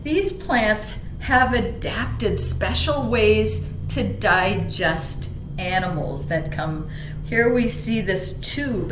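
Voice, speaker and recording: Female library story reader for kids, slow explanatory delivery, mid pitch, echoey recording with slight rumble. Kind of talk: read-aloud children's lesson with explanations. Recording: roomy echo, faint rumbling background noise.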